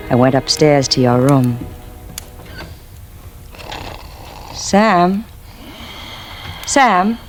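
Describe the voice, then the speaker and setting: A woman's voice: very deep voice, exaggerated voice. An actress playing a bitter, scheming wife who confides her crime in a vintage radio drama. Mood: tense, gleeful. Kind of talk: 1950s radio drama acting, intimate confessional narration. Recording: vintage radio recording with music underneath.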